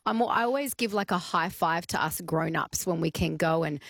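A woman's voice: lowish voice, normal speed, creaky, no echo. Chatty woman hosting live radio. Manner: chatty and warm-hearted, with many fillers and restarts. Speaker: radio talk show host.

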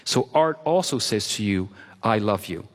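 A man's voice: fairly low pitch, expressive, normal speed, long reverb tail. A middle-aged man from Quebec, whose first language is French, speaking as an author guest in an interview. He talks expansively, piling up examples and repeating words for emphasis. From Quebec, Canada. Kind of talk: reflective interview talk.

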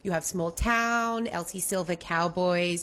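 A woman's voice: fairly low pitch, measured pace, fairly bright tone. A woman in her thirties, a bookshop owner and author, answering interview questions with eager, emphatic enthusiasm.

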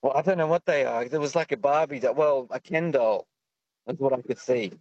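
A man's voice: medium pitch, fairly quick, a little mellow, no room sound. A man calling a radio show by phone, chatting casually in a camp, familiar, teasing manner. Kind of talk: casual chat. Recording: dull phone call.

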